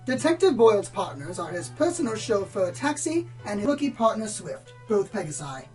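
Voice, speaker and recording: A woman's voice: medium pitch, sing-song, normal speed, a little mellow, soft background music, lightly acted. A woman playing a bookish, slightly prim pony book reviewer in a radio play, speaking formally and articulately. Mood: earnest, formal. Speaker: on-air book presenter.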